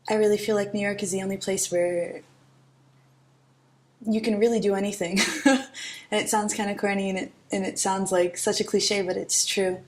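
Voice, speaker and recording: A woman's voice: medium pitch, level tone, moderate pace, darkish tone, slight background noise. A woman from Florida giving a documentary interview in a frank, wry way.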